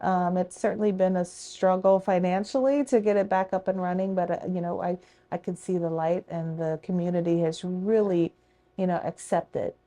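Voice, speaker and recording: Female restaurant owner from Thailand, mellow voice, chatty storyteller. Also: lowish voice, fairly even intonation, normal speed. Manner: chatty, warm storytelling, light laughs. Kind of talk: casual interview.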